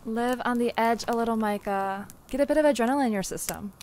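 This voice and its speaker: A woman's voice: a little high, fast, darkish tone, a little hiss or hum, natural voice. A woman playing a teasing, unflappable and secretive co-worker in an audio drama, playful and nonchalant. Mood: playful, smug. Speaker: podcast drama actor.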